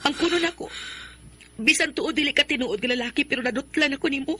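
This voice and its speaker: Brief: a hurt young woman in a radio drama, bright voice, high-ish, emotional. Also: fast. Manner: emotional, soft and tearful at times. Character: heartbroken young woman falling in love again.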